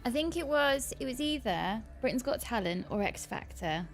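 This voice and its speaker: A woman, co-hosting a casual livestream, chatty and quick to laugh. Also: a little high, lively intonation, faint music behind. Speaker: livestream co-host.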